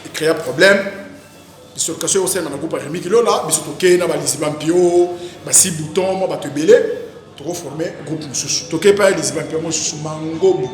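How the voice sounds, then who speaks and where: A man with a Congolese accent, an actor being interviewed, talking casually in an animated, rambling way and repeating his phrases. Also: on the high side, normal speed. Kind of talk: casual interview, recounting career. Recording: very echoey reverberant room, music behind. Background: music.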